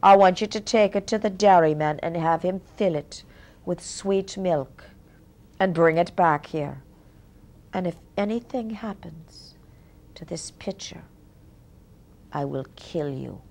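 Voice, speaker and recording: A harsh stepmother from a told folktale, issuing cold threats in a gravelly, lowered voice, as a woman storyteller puts her on. Her orders come slow and flat with menace. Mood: menacing. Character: cruel harsh stepmother, middle-aged woman.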